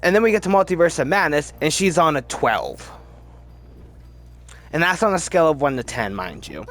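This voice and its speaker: Animated, higher-voiced man giving casual commentary. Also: normal speed. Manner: rambling, self-interrupting, emphatic. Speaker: video host. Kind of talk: casual opinionated movie ranking commentary.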